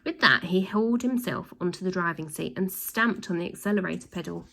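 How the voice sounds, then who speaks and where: A woman reading a children's storybook aloud, with lively voices and sound effects. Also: fairly low pitch, a little fast, vocal fry, poor audio. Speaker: storybook reader.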